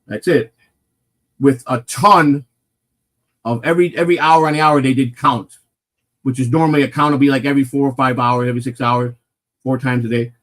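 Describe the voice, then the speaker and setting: A man with a naturally loud, mid-pitched voice tells a long story on a casual morning stream. He rambles, swears freely, and keeps restarting his sentences. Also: steady tone, fairly quick, long pauses. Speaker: morning show host telling a personal story.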